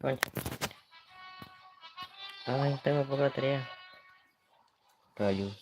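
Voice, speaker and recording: A man's voice: mid-range voice, slow. A man who streams games, giving casual commentary as he plays. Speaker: game streamer. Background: game music.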